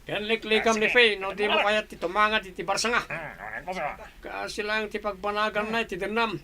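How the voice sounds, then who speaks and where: A man's voice: high-pitched, little pitch movement, normal speed, crowd noise. A man with a Filipino accent playing a bullying, scheming villager in a radio drama. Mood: menacing.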